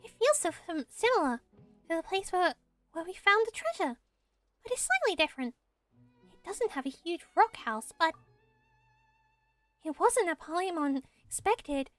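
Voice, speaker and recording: A woman voicing a small, excitable, chatty and cheeky flying fairy companion in a fan-dubbed fantasy game. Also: extremely high pitch, a little slow, pauses often, faint music behind, exaggerated voice. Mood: chatty, wondering. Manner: refers to self in third person. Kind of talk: game character voice acting, fan dub.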